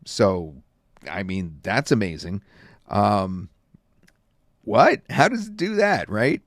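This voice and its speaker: A man reviewing and demonstrating software in a casual, rambling way with many fillers. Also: fairly low pitch, very animated, slow pace, dry recording, studio quality. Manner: casual, filler-heavy talk. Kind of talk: screen-recorded software demo.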